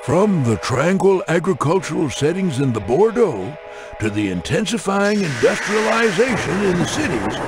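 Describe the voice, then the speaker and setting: A man's voice: high voice, very noisy, exaggerated voice. A man playing a folksy, jocular railroad mission briefer, narrating a game in a dramatic, theatrical style. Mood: wry, jovial. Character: colorful folksy male railroad mission briefer, wry and jovial.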